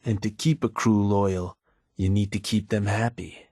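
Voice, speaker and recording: An actor playing a calm, seasoned male raider advisor who talks in an easygoing, folksy drawl. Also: fairly low pitch, unhurried, no echo. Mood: steady. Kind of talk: game voice acting. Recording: dry studio recording.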